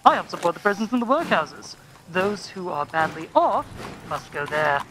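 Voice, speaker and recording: A man's voice: high-pitched, very animated, normal speed, pushed and bright, faint music behind, full character voice. A man playing a miserly, bitter old man in a radio play, grumbling, curt and scornful. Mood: cold, irritable. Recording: faint background noise.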